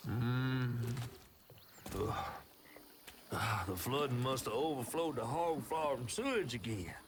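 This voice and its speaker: A man's voice: mid-range voice, lively intonation, slow pace, noisy background. A man voicing a folksy Southern creature guide and storyteller in a video game, with a theatrical drawl and an American Southern accent. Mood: wry, cautioning.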